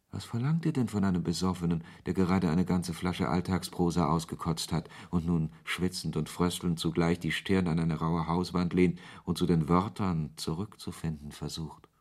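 A man's voice: moderate pace, hardly any pauses, darkish tone. An actor playing a brooding, ironic author who narrates his own story in a radio play, with a literary, sardonic manner. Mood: self-mocking. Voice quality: low, husky voice. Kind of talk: first-person radio play narration and dialogue.

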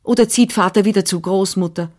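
A woman's voice: medium pitch, a little fast, close to an everyday voice. A woman reading the part of a young girl who answers in a clipped, defensive way. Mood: guarded. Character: young girl, guarded and stubborn.

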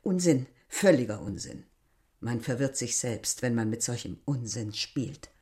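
A woman's voice: very deep voice, lively intonation, a little slow, warm tone, husky, full character voice. A proud, embittered village landlady. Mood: scornful. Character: proud, embittered middle-aged village landlady, former mistress. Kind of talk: literary radio drama acting.

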